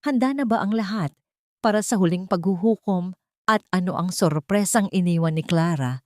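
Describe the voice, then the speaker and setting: A woman reading a scripted first-person story in a solemn, melodramatic, deliberate manner. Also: on the low side, darkish tone, dry recording, clean studio sound.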